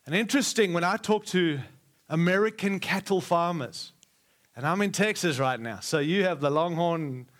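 A man's voice: high voice, normal speed, a bit bright. A middle-aged man, preaching to a live church with repeated, rousing phrases and jokes for the congregation. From Australia. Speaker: guest preacher.

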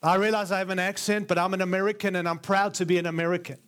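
High-voiced man preaching with an Australian accent. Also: little pitch movement, very fast, a bit bright, clean tone. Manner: earnest, emphatic, repeats phrases for build. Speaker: guest preacher. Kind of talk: sermon. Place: large church before a live congregation.

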